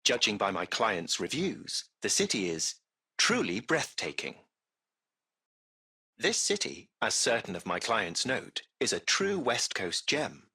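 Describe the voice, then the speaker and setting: A man voicing a servile, impeccably polite artificial intelligence taxi driver in a video game, with formal, ornate, measured diction. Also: lowish voice, very animated, vocal fry, bright, forceful tone, slightly rough, heavily acted. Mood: politely solicitous. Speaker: AI driver and concierge.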